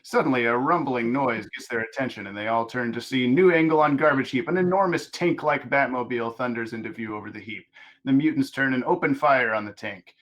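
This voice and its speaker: A man reading stage directions aloud as narrator at a table read of an animated script. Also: medium pitch, a little fast, poor audio.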